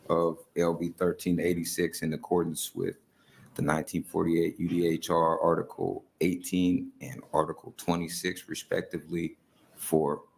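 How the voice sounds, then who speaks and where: A man's voice: low-pitched, vocal fry. A man giving stiff, formal testimony at a hearing.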